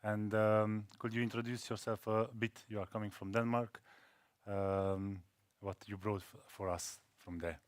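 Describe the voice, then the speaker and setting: A man's voice: on the low side, flat delivery, measured pace, no room sound. A man moderating a conference panel, politely passing the word to speakers with many ums. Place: conference centre.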